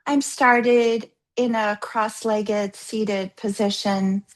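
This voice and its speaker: Female yoga therapist for guided relaxation: mid-range voice, very slow delivery, soothing and gently instructive, leaving space between phrases. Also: medium pitch, fairly even intonation. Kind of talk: guided yoga nidra meditation.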